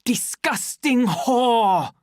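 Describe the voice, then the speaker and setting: Furious young man, high and loud, shouting, read by a woman. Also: lively intonation, slow, no room sound, studio quality.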